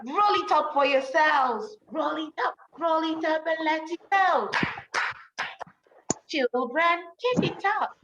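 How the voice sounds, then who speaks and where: A woman teacher reading a rhyming story for children, with an encouraging manner and deliberate, emphatic delivery. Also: high voice, distant mic, highs cut off, background noise. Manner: encouraging, praising, addressing children directly.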